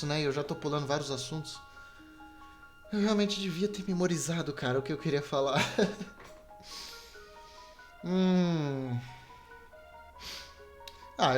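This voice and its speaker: A young adult man with a Brazilian Portuguese accent rambles casually as a solo podcast host, slangy and self-deprecating. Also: mid-range voice. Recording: video game music behind the voice. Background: Super Nintendo game music.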